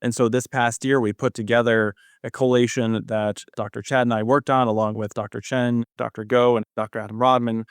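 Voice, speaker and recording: A young man talks as a medical expert guest on a podcast. His mid-pitched voice is smooth and clean, recorded dry, as he explains things in a conversational way. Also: no room sound. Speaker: cardiology fellow, podcast guest. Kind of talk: explanatory interview talk.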